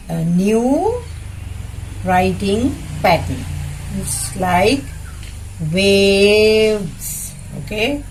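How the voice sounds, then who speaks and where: Female preschool teacher, soft dark tone, extremely unhurried, halting step-by-step instructions for young children. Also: medium pitch, a little hiss or hum, rough recording. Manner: halting short phrases, repetitive instruction. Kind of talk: simple children's lesson, demonstrating while talking.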